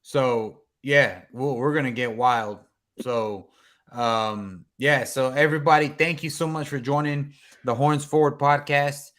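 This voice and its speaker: A man hosting a casual sports fan podcast, excitable and full of laughs and filler words. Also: mid-range voice, unhurried. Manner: excitable, laughs often, many ums. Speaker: podcast host.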